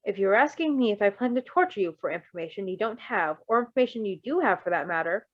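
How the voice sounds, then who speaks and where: A woman's voice: mid-range voice, lively intonation, quick pace, dull audio, close to an everyday voice. A woman voicing an awkward, earnest young male superhero with a stiff, formal, hesitant manner in a story reading. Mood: firm.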